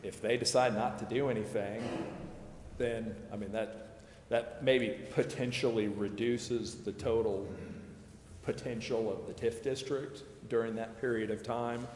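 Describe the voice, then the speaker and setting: An American man explaining policy at a public meeting in a measured, halting way. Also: mid-range voice, steady tone, darkish tone. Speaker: advisor explaining city tax district plans. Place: council chambers. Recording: echoey council chamber, background hiss.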